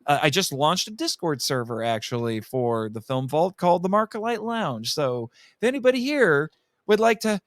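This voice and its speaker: A man's voice: on the high side, very animated, moderate pace, no room sound. A man chatting casually as a podcast guest, telling things in a rambling, theatrical way.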